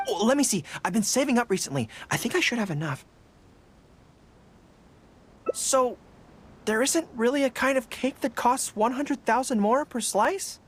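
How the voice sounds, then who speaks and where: A woman voicing a youthful, earnest and modest dog-eared general in a video game, expressive and a little hesitant, with music behind. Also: medium pitch, moderate pace, slightly rough. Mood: hesitant, surprised. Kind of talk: scripted game dialogue. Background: background music.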